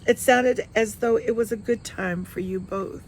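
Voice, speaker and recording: A woman's voice: on the high side, measured pace, a little hiss or hum, natural voice, rough recording. A woman voicing a sly, lecherous man in a novel, a falsely polite and insinuating uninvited guest. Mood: slyly polite.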